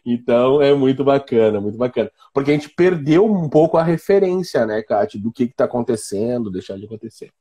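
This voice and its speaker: A man with a southern Brazilian accent from Rio Grande do Sul, hosting a casual, joking chat in an informal, teasing, rambling way, with a husky voice. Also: medium pitch, expressive, brisk, few pauses, warm tone. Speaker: live-stream host; physiology and biomechanics professor.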